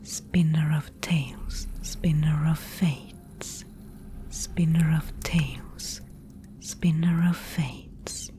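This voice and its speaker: A woman voicing a mysterious, solemn Spindlemaid guide in a whispered ASMR dark-fantasy roleplay, with breathy, incantatory, archaic speech. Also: deep voice, fairly even intonation. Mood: eerie chanting. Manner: slow, incantatory, archaic phrasing.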